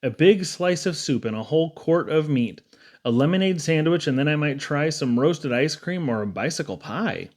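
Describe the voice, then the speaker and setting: A man with a moderately high-pitched voice reads comic poetry for children. His delivery is expressive and theatrical, with a playful storyteller's feel. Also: moderate pace. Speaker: poetry reader. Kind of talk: expressive reading of humorous children's verse.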